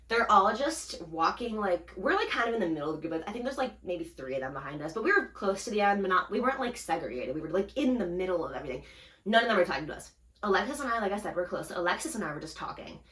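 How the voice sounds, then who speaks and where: A young woman co-hosting a casual podcast, telling a story in an animated way, acting out quotes and laughing often. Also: medium pitch, reverberant. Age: young adult. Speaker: podcast co-host.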